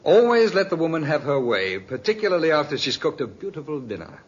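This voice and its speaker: A man's voice: a little high, sing-song, fairly quick. An actor playing an easygoing, suave ladies' man with a secret, bantering and flirtatious in 1950s radio drama style. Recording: muffled, hissy vintage radio recording.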